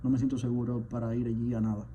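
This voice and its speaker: A man, a physician, delivering an impassioned spoken message, indignant and firing off rhetorical questions, at times surging louder. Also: medium pitch, flat delivery, fast. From Cuba. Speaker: physician, rheumatologist. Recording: dull lo-fi sound with faint background noise.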